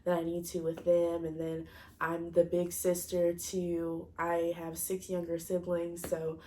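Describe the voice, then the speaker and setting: A woman's voice: lowish voice, completely flat delivery, measured pace, mellow, slight background noise. A woman in her twenties talking casually to her viewers, a bit stuffy from a cold, rambling and often correcting herself as she searches for words. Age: 20s. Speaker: vlogger. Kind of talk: casual personal talk to camera.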